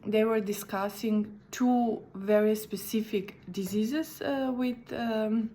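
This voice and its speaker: A woman from Slovenia, a native Slovene speaker with a Slovene accent, talking in a recorded video message as an interpreter, careful and halting with many fillers. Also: fairly high pitch, mellow, a little hiss or hum.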